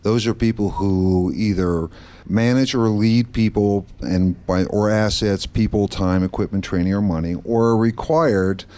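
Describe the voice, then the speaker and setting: A middle-aged man from the Netherlands, a guest on a radio talk show, explaining his nonprofit work in a steady, explanatory way. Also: lowish voice, a little slow. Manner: steady, explanatory, occasional restarts. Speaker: guest, branch manager of a nonprofit. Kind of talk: radio interview answers. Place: studio set in a mall.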